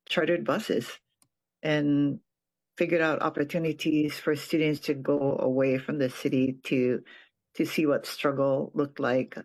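An older man from Hawaii, a retired professor, giving a reflective personal account with frequent pauses and restarted phrases. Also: fairly high pitch. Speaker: retired professor and nonprofit board president.

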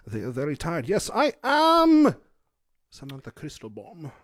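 A haughty, pompous rich lady, as a man puts her on in a high falsetto voice that swoops all over. She is indignant and touchy about her own name, scolding everyone in an improvised comedy bit. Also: huge pitch swings, a little slow, no echo, clean studio sound.

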